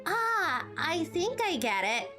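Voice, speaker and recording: A woman doing amateur game dubbing as a plucky, excitable young female trainee fighter. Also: extremely high pitch, slow pace, over music, voice pushed up, exaggerated voice. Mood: eager.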